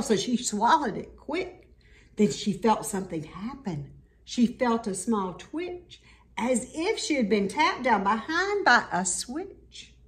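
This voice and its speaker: Animated, high-voiced woman reading a storybook. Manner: dramatic, emphatic storytelling. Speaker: storybook reader. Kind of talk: expressive read-aloud of rhyming children's story.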